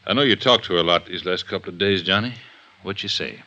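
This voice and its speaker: A blunt 1950s radio police inspector. His voice is deep and very sharp-edged, and he speaks plainly and forcefully, like a weary, serious cop. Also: fast talker, lo-fi sound. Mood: weary, grave. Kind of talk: 1950s radio drama acting.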